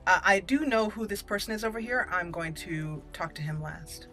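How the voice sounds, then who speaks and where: A woman hosts a game playthrough in a medium-pitched voice. She mixes deliberate roleplay lines with casual commentary, over faint game music. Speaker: gaming video host.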